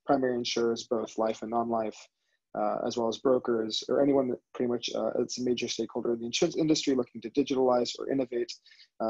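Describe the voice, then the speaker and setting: Flat-voiced young American man. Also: medium pitch. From United States. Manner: fluent, flat, businesslike. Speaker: venture capital director. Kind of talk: panel self-introduction. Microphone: headset on video call. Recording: husky, dull video call audio.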